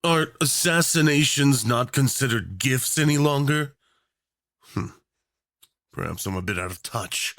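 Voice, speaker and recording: A man's voice: mid-range voice, expressive, measured pace, long pauses, fairly bright tone, no echo, clean studio sound, pitched above the performer's own voice. A man acting a smug, menacingly affectionate yandere tyrant in an ASMR roleplay, theatrical and mock-sweet, drawing out his endearments. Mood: possessive affection.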